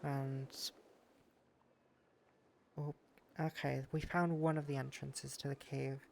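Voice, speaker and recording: Stuffy-voiced man streaming, flat and gravelly. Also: medium pitch, slow pace, a little hiss or hum. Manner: rambling, thanks viewers, muses aloud. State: stuffy with a cold. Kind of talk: casual streaming chat while exploring a game world.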